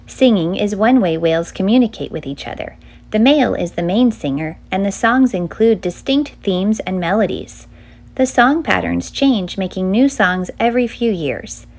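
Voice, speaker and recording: Middle-aged woman, mid-pitched voice, children's read-aloud, measured storyteller delivery. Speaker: children's author and librarian hosting a storytime.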